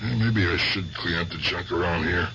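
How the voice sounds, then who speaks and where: An actor playing an aging, guilt-ridden gangster in a radio drama, with rough, halting American speech. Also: male voice, low-pitched, pushed and bright. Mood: muttering, agitated. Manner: rough colloquial speech, halting, muttering to himself. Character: aging guilt-tormented gangster, gruff but fond uncle. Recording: dull, noisy old radio drama recording.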